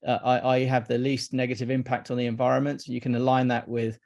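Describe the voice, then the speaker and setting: A man in his fifties, originally from Iraq, chats as an interview guest. His delivery is fairly flat, with little rise and fall in pitch, and he stumbles through his sentences, repeating words and starting over. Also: quick pace, gravelly. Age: 50s. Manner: hesitant, repeats words and restarts often. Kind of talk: casual interview chat.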